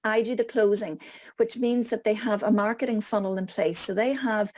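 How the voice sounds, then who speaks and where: A woman's voice: medium pitch, fairly even intonation, moderate pace, darkish tone. A woman from Donegal with an Irish accent, answering questions as a guest on a video call in a chatty, self-mocking way. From Donegal, Ireland. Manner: chatty, explanatory, laughing at herself. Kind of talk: online group Q&A.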